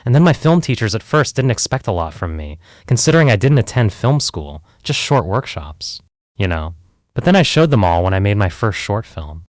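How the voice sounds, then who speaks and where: A man acts out a self-doubting filmmaker on the therapy couch in an audio play. His voice sits low but rises and falls in lively sweeps. He is candid and wry, rambling and hedging as he goes, in a dry, close recording. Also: normal speed, no echo. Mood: self-conscious, reflective. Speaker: therapy client. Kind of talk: conversational audio play acting.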